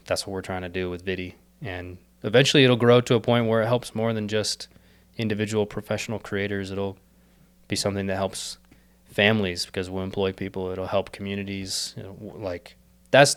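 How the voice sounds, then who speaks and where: A young man, a podcast guest, talking earnestly and rambling with many fillers and restarts, his voice a little worn as he is losing it. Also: fairly low pitch. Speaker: podcast guest, startup co-founder. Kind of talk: casual reflective interview talk.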